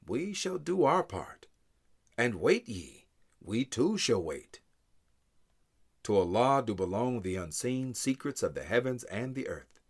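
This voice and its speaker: A man reading scripture aloud in English in a solemn, measured way, with deliberate phrases that open with emphasis and fall away at the end. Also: fairly low pitch. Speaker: scripture reader.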